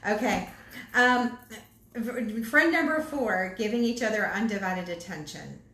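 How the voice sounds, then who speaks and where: A woman's voice: mid-range voice, animated, bright, forceful tone. A talkative woman co-hosting a ministry chat about marriage. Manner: chatty, quick, self-described chatterbox. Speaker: ministry co-host. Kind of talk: casual marriage talk. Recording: echoey room, faint background noise.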